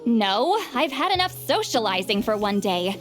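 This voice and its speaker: A woman's voice: fairly high pitch, sing-song, moderate pace. A woman voicing a centuries-old shaman with a youthful voice, shy and easily flustered. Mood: calm. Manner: hesitant, stammering, fussy. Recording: game audio with faint music.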